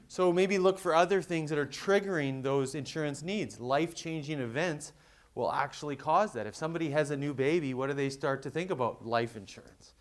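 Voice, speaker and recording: A man giving a conversational business presentation at a conference, explaining with quick everyday examples. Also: medium pitch. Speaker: conference speaker.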